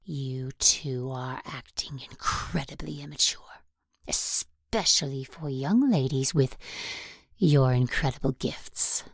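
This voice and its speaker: A severe nun who teaches at a religious school in an audio drama. She speaks very slowly and low, weighing each cutting word as she reproaches her pupils. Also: gravelly, dry recording, clean studio sound, full character voice. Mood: stern reproach. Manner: slow, deliberate, severe.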